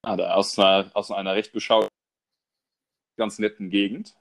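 A man co-hosts a relaxed podcast chat. He talks hesitantly, restarts often, and slips into playful irony. His voice sits slightly low. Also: normal speed, creaky. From Germany. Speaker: podcast co-host. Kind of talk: casual chat.

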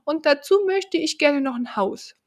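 A woman hosting a podcast, talking slowly and thoughtfully to the listener, her voice deepened by a cold. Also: lively intonation. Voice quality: lower than usual from a cold. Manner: slow, thoughtful, addresses the listener directly. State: has a cold. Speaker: podcast host. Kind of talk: reflective podcast monologue.

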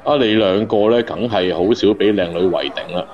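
An actor playing a brash, street-wise bartender in a Cantonese radio drama, with a Hong Kong accent. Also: male voice, fairly low pitch, moderate pace, a little mellow, lo-fi sound, some chatter behind, lightly acted. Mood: brash, preachy. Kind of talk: radio drama acting, bar banter.

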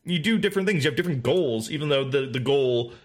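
A man's voice: medium pitch, expressive, quick pace. A man chatting casually about video games, rambling with many fillers, restarts and swearing. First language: English. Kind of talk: casual gaming chat.

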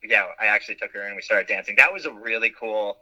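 A man talks as an interview guest with a very bright, sharp-edged tone. He rambles warmly through fillers and restarts and often laughs at his own expense. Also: fairly low pitch, fast, breathy edge, poor audio. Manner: animated, laughs at himself, lots of fillers. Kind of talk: casual interview chat.

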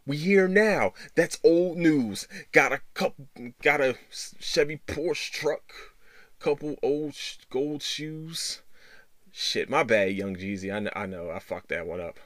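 A man hosting a casual solo podcast, talking in a rambling, halting way with repeated words and loose swearing. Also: fairly high pitch, dry recording, clean studio sound. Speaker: podcast host.

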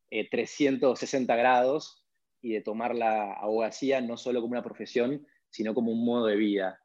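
Male podcast guest, Argentine accent, conversational, average pitch, lo-fi sound. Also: a little mellow. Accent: Argentine Spanish. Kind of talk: conversational interview answers. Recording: dull lo-fi audio.

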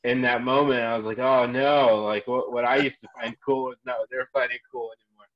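A man's voice: medium pitch, fairly quick. Young man with a dull, lo-fi sound. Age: 20s. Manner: animated storyteller who acts out reactions. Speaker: gym coach and guest. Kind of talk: casual chat.

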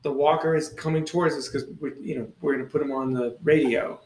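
A woman in an improvised comedy podcast, deadpan, asking mock-serious questions. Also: deep voice, fast talker, reverberant, rough recording.

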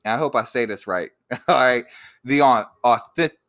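A man's voice: mid-range voice, moderate pace, a bit bright. A man in his late twenties co-hosting a casual, unscripted podcast chat, laughing often and rambling through restarts. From born in Georgia, raised in Virginia. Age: 20s. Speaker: podcast co-host.

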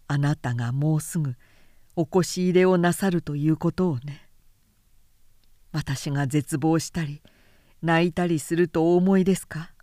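A woman narrator voicing an ardent, courtly young man who pleads gently and formally in a literary audiobook. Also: fairly low pitch, no echo, clean studio sound, close to an everyday voice.

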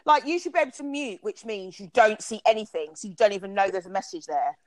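A woman's voice: mid-range voice, very animated, fast talker, no echo. Chatty British woman, dry close sound. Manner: chatty, laughs often. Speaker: podcast host. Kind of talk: casual chat.

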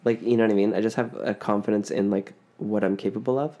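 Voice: mid-range voice, steady tone, fast talker, mellow. A podcast host from Ontario with a Canadian accent, chatting casually and telling stories with playful emphasis on words. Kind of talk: casual chat.

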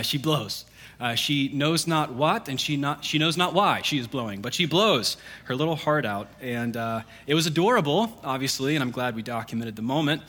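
A man in his thirties, a pastor, reading scripture and then preaching in a relaxed, joking, conversational way with fillers. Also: mid-range voice. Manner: self-deprecating jokes, conversational with fillers. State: congested.

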